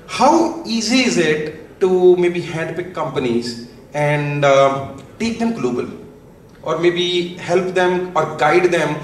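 A man moderating a panel, speaking enthusiastically and laughing as he talks. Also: high-pitched. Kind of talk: panel discussion. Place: large conference hall stage, seated panel. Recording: echoey hall, distant mic, faint noise.

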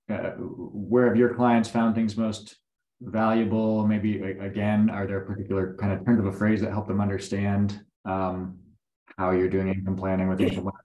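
A man with a fairly low voice moderates a video call panel. His questions come with small restarts, and the sound is roomy and echoey. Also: muffled recording. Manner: asks questions with hesitant restarts.

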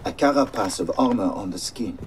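An actor playing a grave army doctor in a cinematic game. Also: male voice, fairly low pitch, animated, a little fast, recorded from a distance, slight background noise, lightly acted, low-quality recording. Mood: grave explaining.

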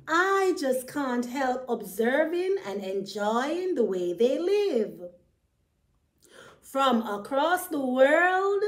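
A woman's voice: sits high, a little mellow, exaggerated voice. A woman voicing a wistful young rat in a children's storybook, dreamy and rhyming. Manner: dreamy, slow, rhyming delivery.

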